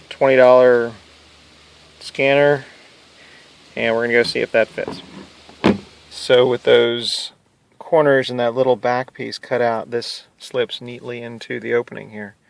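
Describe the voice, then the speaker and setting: A man's voice: mid-range voice, flat delivery, slow, creaky, long pauses, noisy background. A man giving a casual, plain-spoken how-to narration, explaining a hands-on DIY install step by step. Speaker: hobbyist demonstrating a DIY car install.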